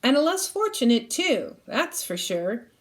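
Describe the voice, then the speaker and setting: A woman's voice: high-pitched, big pitch swings, a little slow, noisy background. A woman voicing a distracted preacher father in a children's storybook, terse and firm but kindly underneath. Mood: softening. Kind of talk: children's storybook read-aloud.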